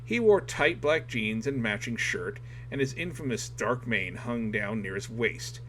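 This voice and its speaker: Man reading dramatically over clear background noise. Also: mid-range voice, lively intonation, unhurried, a bit bright. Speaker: audiobook reader. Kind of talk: dramatic scripted narration.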